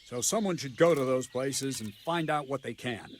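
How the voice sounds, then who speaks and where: An actor voicing a grim, practical medieval captain in a video game, with measured, instructive delivery. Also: male voice, mid-range voice, a little hiss or hum, close to an everyday voice. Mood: businesslike.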